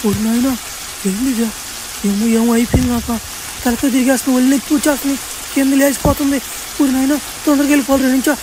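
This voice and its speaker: A woman acting out a hapless young cartoon man with a high voice and hurried delivery. He pleads and laments like a comic loser in a parody skit. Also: steady tone, noisy background, natural voice. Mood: bewildered, lamenting. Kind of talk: comic cartoon dubbing in Telugu.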